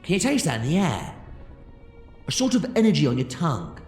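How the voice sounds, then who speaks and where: A man playing a quick-witted, commanding, eccentric time-travelling adventurer in an audio drama. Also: fairly high pitch, wildly swooping pitch, a little mellow, roomy sound, faint music behind. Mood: intrigued. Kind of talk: full-cast audio drama acting.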